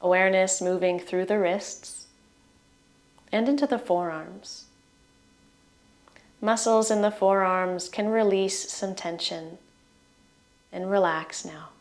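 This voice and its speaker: A woman guides a relaxation meditation at a very slow, unhurried pace. Her mid-pitched voice gives gentle, soothing instructions in short phrases, letting the ends trail away. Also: fairly even intonation.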